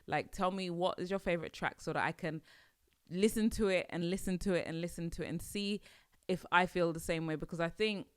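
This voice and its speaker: A woman with a low, smooth, resonant voice hosts her own podcast, chatting casually and musing aloud with frequent restarts. She sounds young and relaxed. The recording is dry and close. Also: fairly quick, dry recording. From Nigeria. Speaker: podcast host. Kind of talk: casual solo podcast chat.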